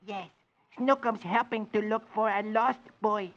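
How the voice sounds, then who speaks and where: A man voicing an innocent toddler boy from a comic strip in a soft, childlike way on a dull old radio broadcast. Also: high-pitched, fairly even intonation, a little slow, voice pushed up.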